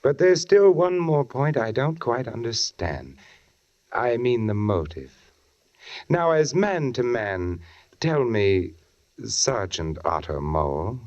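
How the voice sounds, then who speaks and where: An actor playing a sly, probing British newspaperman in a 1940s radio suspense drama, measured and insinuating in manner. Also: fairly low pitch, darkish tone. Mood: knowing, confronting. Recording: muffled 1940s radio recording.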